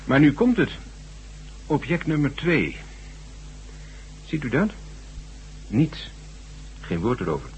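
An actor playing an obliging, principled chief computer programmer in a radio drama, measured, courteous and confiding. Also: male voice, medium pitch, huge pitch swings. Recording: noisy, humming old radio recording.

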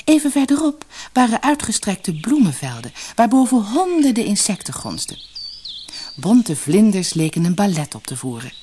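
A woman narrating a fairy tale for an audiobook in an expressive, dramatic storytelling manner. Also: mid-range voice, moderate pace, a little hiss or hum.

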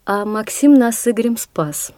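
High-voiced, sharp-tongued hostess played by an actress. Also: female voice, expressive, normal speed, no room sound, studio quality, natural voice. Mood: chatty, gracious. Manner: chatty, bossy, exclamatory. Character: sharp-tongued, irritable married hostess at a country house. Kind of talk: radio play acting.

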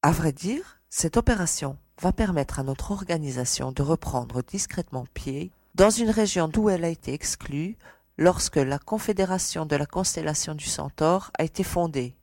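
A woman acts out a composed female envoy in an audio space opera. The voice sits low and has a soft, dark tone. The phrasing is formal and explanatory, in a dry recording. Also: animated, moderate pace, no echo. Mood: composed, candid. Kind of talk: science-fiction audio drama acting.

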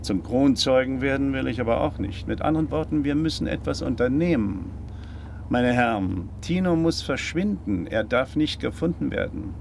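An actor in a German radio drama playing a cautious, methodical handyman, formally worded and deliberate. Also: mid-range voice, expressive, vocal fry, slight background noise. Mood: firm, resolute. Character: Floyd, male handyman drawn into crime, cautious, methodical.

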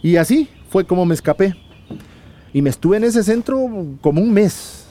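An actor playing a desperate, worn-down migrant worker in a radio drama, earnest and pleading. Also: male voice, sits high, lively intonation, moderate pace, warm tone, a little hiss or hum. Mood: weary, tender.

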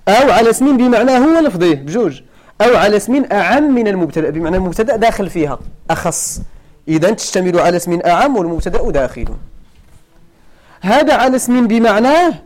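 A middle-aged Moroccan man with a high voice and a very animated, swooping pitch teaches a grammar lesson. He speaks with a Moroccan accent, checks whether his students follow, and repeats each point several times to drive it home. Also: fast talker. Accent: Moroccan Arabic. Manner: questions the students often, repeats points for emphasis. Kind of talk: classroom lesson.

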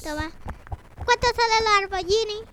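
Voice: very high-pitched, normal speed. A child improvising a playful shop role-play in Spanish, with noise all around. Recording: noisy, loud ambient room noise.

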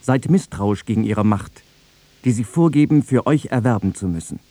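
A man reciting literary texts in a measured, solemn manner. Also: on the low side, animated, dark tone, no echo. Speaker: reader of literary quotations.

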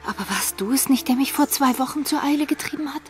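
Woman acting young lady in love, radio play, highish voice, pushy n direct, little music behind. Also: fast, lightly acted. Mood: insistent. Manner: insistent, direct.

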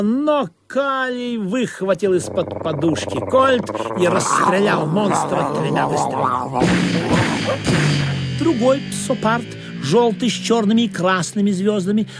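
An actor playing a seafaring storyteller, narrating a comic audio play in an animated, dramatic way. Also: male voice, high voice, vocal fry, exaggerated voice. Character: lively seafaring storyteller recounting absurd adventures.

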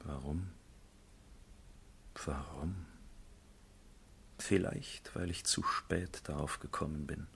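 An actor playing a cynical, world-weary gentleman in a literary radio play, confiding and mocking in a theatrical way. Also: rumbling low, steady tone, slow, creaky, pauses often, slightly rough, exaggerated voice. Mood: sardonic, weary.

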